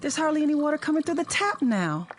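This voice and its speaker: A woman's voice: high voice, sing-song, fast, slight background noise. An actress playing a worried wife and mother in a radio drama, anxious and questioning. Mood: anxious, flustered.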